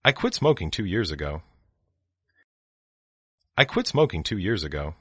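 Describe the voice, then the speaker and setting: Male phrase reader for a language course: very low, husky voice, slow and deliberate delivery. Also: big pitch swings, dry recording, clean studio sound. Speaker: language lesson speaker. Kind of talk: reading phrases for learners.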